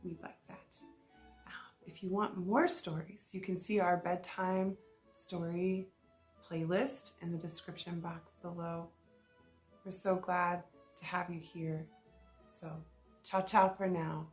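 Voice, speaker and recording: A woman's voice: lowish voice, level tone, slow pace, faint music behind. A woman hosting a children's storytime, reading a picture book aloud in a playful, sing-song way and speaking straight to young listeners.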